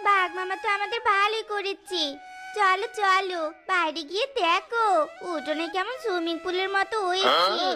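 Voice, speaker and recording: A woman's voice: extremely high pitch, normal speed, over music. A woman voicing a dramatic, bossy fox wife in a cartoon, with an exclaiming, theatrical manner. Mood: delighted coaxing. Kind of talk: cartoon character voice acting.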